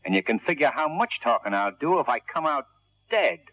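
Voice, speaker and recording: An actor playing a suspicious, hot-tempered convict gangster, talking tough and slangy in an old radio crime drama. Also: male voice, medium pitch, sing-song, brisk, no room sound. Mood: grudging, menacing. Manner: agitated, slangy, tough-guy talk. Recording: tinny, dry old radio broadcast.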